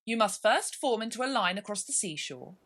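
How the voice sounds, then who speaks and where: Woman playing a loud, high, bossy gryphon. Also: expressive, brisk. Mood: brisk. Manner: emphatic, interrupting, exclamatory. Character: brash, bossy, excitable male gryphon, a mythical beast.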